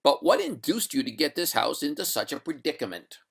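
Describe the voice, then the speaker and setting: Storybook householder , male reader: testy, witty gentleman, formal and dryly sarcastic, gravelly creak. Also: a little high, sing-song, brisk, heavily acted. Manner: formal, asperity, dry sarcasm. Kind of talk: storybook character voice.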